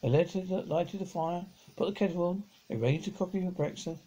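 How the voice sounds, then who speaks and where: A middle-aged man reads a children's story aloud, stumbling over words and rereading them, in a husky, gravelly voice. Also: sits high, fairly quick, warm tone, poor audio. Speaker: amateur audiobook reader. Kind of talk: reading a children's novel aloud. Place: living room at home, on a sofa.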